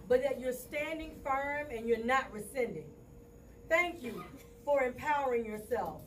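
High-voiced woman reciting rhythmic poetry. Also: far from the mic, a little hiss or hum, low-quality recording. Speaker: spoken word poet. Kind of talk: live spoken word poetry.

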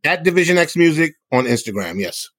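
A man's voice: fairly high pitch, very animated, a little fast, a bit bright, no room sound. Earnest man, dry sound. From South Bronx, New York City. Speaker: hip hop musician and guest. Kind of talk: conversational interview answers.

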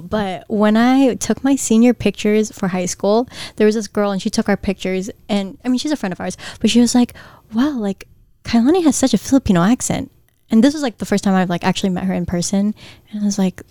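A woman's voice: medium pitch, warm tone, no room sound. A young woman from Guam co-hosting a casual podcast chat, chatty and agreeable. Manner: chatty, agreeable, quick back-and-forth. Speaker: podcast co-host.

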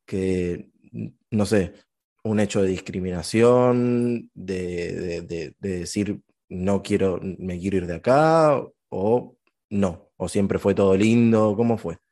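A man's voice: sits low, slow, dark tone. A man with an Argentine accent hosting a casual interview, asking long rambling questions full of fillers. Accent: Argentine Spanish. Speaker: host.

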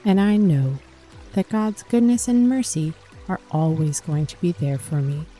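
A woman narrating a scripted guided sleep meditation for children in a gentle, calming, soothing manner. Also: on the low side, a little slow, soft background music.

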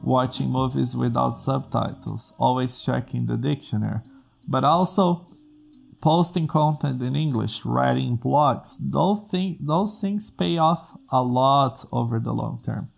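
Gravelly Brazilian man giving career advice. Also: mid-range voice, a little slow, faint music behind. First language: Portuguese. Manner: earnest, explains in plain steps with restarts. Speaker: coding school host. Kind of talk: advice vlog to camera.

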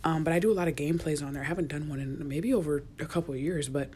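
A young woman, a college student, rambling in a casual solo podcast, chatty and often dropping to a near whisper, recorded in a quiet university office. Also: sits low, racing, slight background noise. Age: young adult. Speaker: podcast host.

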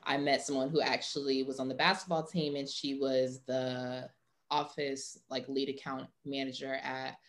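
Low-pitched young woman, casual and informal. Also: little pitch movement, moderate pace. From Atlanta, US. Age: young adult. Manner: informal, heavy use of "like". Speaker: interview guest, sports marketing professional. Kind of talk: casual conversational interview.

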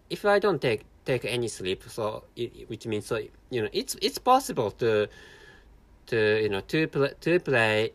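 Halting Japanese-accented man, lively pitch. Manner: halting, many restarts and repeated words. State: tired. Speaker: podcast host. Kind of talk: casual solo monologue.

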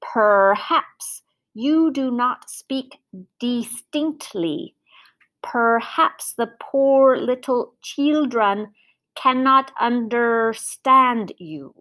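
Woman putting on a prim, slow, condescending mother. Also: fairly high pitch, fairly bright tone, poor audio. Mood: smug, condescending. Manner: halting, drawn-out, over-precise enunciation with little ahs. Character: prim, condescending mother lecturing on correct speech.

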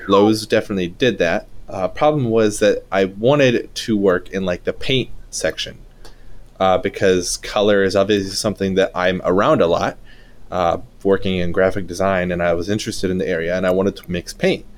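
A man in his early twenties co-hosting a laid-back podcast. His voice sits on the low side, and he talks through his story steadily, leaning on the odd filler "uh". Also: fairly even intonation, moderate pace. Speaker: podcast co-host. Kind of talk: casual conversational podcast.

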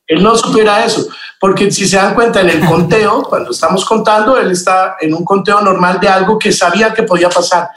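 Male actor guest, high-pitched voice, casual interview explanations, roomy echo. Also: fairly even intonation, fairly quick, few pauses, a bit bright. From born in Mexico, grew up with Colombian and Mexican vocabulary. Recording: roomy, echoey sound.

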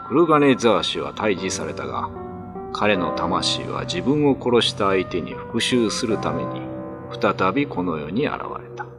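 A man's voice: deep voice, sing-song, moderate pace. A man narrating a classical ghost story for radio drama in a theatrical, ominous, scripted style. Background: music.

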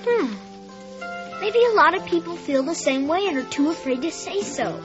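A woman's voice: extremely high pitch, animated, moderate pace, music in the background. A woman voicing a shy, earnest schoolboy who stammers and hesitates, in a radio drama. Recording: slightly dull radio drama recording.

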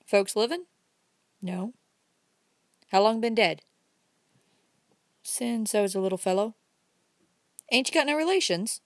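A woman's voice: medium pitch, unhurried, pauses often, dry recording, clean studio sound, lightly acted. A woman voicing a talkative, folksy old countryman with a rustic drawl, reading an audiobook. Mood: prying. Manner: chatty, homespun, emphatic.

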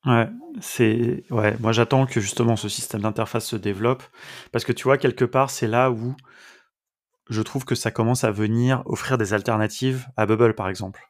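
A man's voice: mid-range voice, fairly even intonation, normal speed. A man hosting a podcast, chatting casually as he interviews his guest.

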